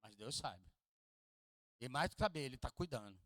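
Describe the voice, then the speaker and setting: A man with a Rio de Janeiro Portuguese accent preaching a sermon in a church, dropping to hushed low asides and rising to loud, high peaks, joking with the congregation and asking them to repeat lines after him. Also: lively intonation, moderate pace, dry recording. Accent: Carioca Portuguese. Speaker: pastor. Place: church hall.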